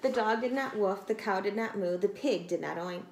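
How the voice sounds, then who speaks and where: A woman's voice: mid-range voice, moderate pace. A woman in her forties reading a children's story aloud expressively, like a teacher, recorded in a small room at home. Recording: echoey room, light background noise.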